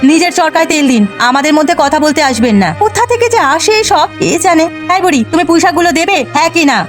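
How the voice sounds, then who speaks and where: A woman's voice: very high voice, quick pace, soft background music. A woman voicing a greedy, quarrelsome village wife in a cartoon, scolding and demanding. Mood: defiant, scornful. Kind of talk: Bengali cartoon dialogue.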